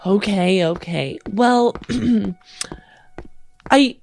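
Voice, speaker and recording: A woman's voice: lowish voice, creaky, darkish tone, slight background noise. A woman playing a sugary, eager wife hoping to adopt, coaxing and gushing, in a tabletop game. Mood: polite, careful. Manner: gushing, coaxing, hesitant pauses.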